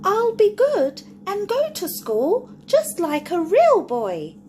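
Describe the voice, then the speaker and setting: A woman's voice: very high voice, huge pitch swings, slow pace, a little mellow, a little hiss or hum, voice pushed up, exaggerated voice. A woman voicing a cheeky little wooden puppet boy who eagerly promises to be good in a storybook reading.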